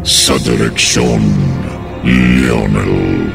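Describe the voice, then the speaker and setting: Extremely deep, gravelly Filipino male announcer. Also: steady tone, extremely slow. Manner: theatrical, dramatic. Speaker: announcer and narrator. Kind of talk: ominous horror radio drama narration. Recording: radio production with music bed.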